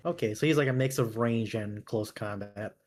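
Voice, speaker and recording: A man's voice: fairly low pitch, moderate pace, a crowd in the background. A man chatting casually as a game player, tentative and thinking aloud. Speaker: player creating a character. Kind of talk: casual chat.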